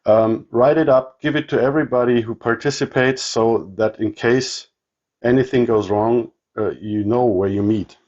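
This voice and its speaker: A man with a German accent showing his radio gear in a casual walkthrough, halting with many ums and restarts. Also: mid-range voice, steady tone, a little mellow, muffled recording. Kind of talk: casual show-and-tell walkthrough.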